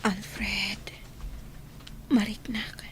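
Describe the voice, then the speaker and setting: A woman's voice: mid-range voice, little pitch movement, extremely slow, a little hiss or hum. A woman playing a frail, apologetic sick wife, whispering breathily in an Ilocano radio drama. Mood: weak, apologetic.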